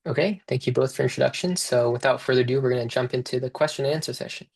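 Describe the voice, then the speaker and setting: A man's voice: medium pitch, racing, creaky, darkish tone, breathy edge. A young man moderating an online panel as a student research director, reading a prepared introduction in a friendly way.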